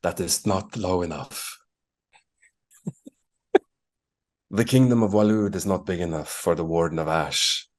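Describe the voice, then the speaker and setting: A man's voice: sits low, measured pace, pauses often. An actor playing a haughty, domineering nobleman warlord in a grand fantasy video game. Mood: imperious.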